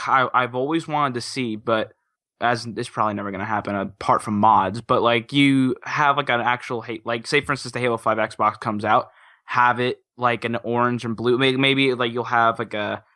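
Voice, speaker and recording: A man chatting casually on a gaming podcast in an excitable, stop-start way. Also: mid-range voice, moderate pace. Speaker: podcast panelist.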